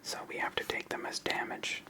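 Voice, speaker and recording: A person whispering ASMR-style commentary as the host of a card game channel, in a soft, unvoiced manner. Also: fairly quick.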